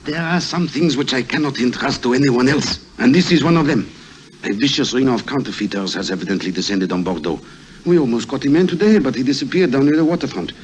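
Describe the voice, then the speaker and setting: An actor playing a harried, earnest police commissioner in an old radio drama, emphatic and placating. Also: male voice, medium pitch, lively intonation, fast, natural voice. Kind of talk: 1950s radio drama acting. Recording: noisy vintage radio recording.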